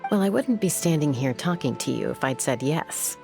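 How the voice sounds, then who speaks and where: Game heroine: resolute young tribeswoman, low voice with gravelly fry, composed and reflective. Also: lively intonation, normal speed, over music. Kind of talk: video game voice acting.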